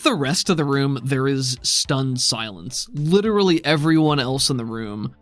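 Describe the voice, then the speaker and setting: A man's voice: medium pitch, lively intonation, moderate pace, faint music behind. A man running a tabletop role-playing game as game master, describing scenes in detail and laughing easily.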